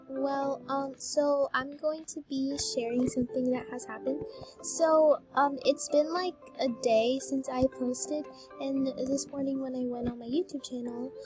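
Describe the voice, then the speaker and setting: Bubbly high-pitched teen girl gamer. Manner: bubbly, full of filler ums, exclaims at game fails. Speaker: gaming YouTuber. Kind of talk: casual voice-reveal chat while gaming.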